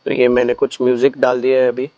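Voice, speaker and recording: A man's voice: medium pitch, completely flat delivery, quick pace, dark tone, smooth, no room sound, highs cut off. A man gives a casual step-by-step product tutorial, explaining things and mixing in English phrases. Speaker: product reviewer and shop presenter.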